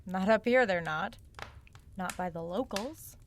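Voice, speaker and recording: A woman's voice: medium pitch, unhurried, slight background noise, close to an everyday voice. A woman playing a gutsy, sardonic young northern woman on the run in an audio drama, with a blunt, wry delivery. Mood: needling, quieter. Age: young adult.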